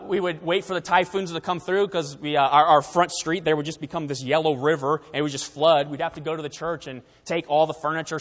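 A man's voice: a little high, big-room sound. A young man raised in Taiwan, giving a missionary slide presentation to a church in an earnest, conversational way with frequent fillers. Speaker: missionary speaker.

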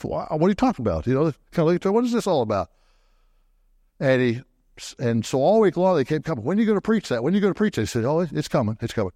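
A man preaching a sermon into a microphone in a church, animated, often swinging his voice up high to stress a point. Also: racing, no room sound, studio quality.

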